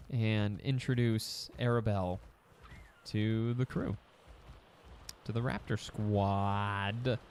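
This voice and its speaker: A man's voice: on the low side, slight background noise. A young man casually commentating a survival game, rambling to his in-game pets and his audience. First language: English. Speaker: gaming YouTuber. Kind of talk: casual let's-play commentary.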